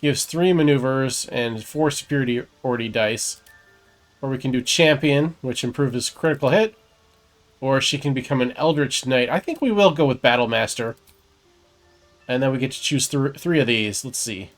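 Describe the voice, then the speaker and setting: Male game streamer, mid-pitched voice, casual play-by-play with some reading aloud, music faintly behind. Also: normal speed. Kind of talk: casual gameplay commentary, sometimes reading game text aloud.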